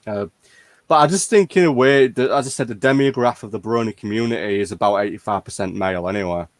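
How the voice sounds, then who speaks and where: Male fan-chat host, average pitch, informal delivery, very dry recording. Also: fast talker, no room sound. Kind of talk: casual chat.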